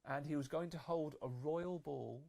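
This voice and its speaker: A woman's voice: deep voice, moderate pace, creaky, warm tone. A woman telling a fairytale to children as a live storyteller, agreeable and improvising as she goes.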